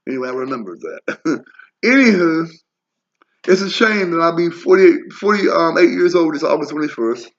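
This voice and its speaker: A man in his forties talks on in a wandering personal monologue, blunt and full of swearing. His voice sits a little high for a man. The recording is dull and lo-fi. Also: lively intonation, moderate pace. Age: 40s. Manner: blunt, profane, wandering asides. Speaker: vlogger. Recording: lo-fi muffled audio.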